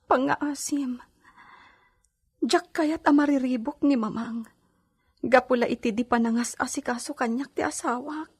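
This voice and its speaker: Slow, high-voiced, weary pregnant lady. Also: slightly rough, full character voice. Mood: weak pleading. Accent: Filipino. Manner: plaintive, weary. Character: weak pregnant young lady of the house, pleading and sorrowful. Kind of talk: Ilocano radio drama acting.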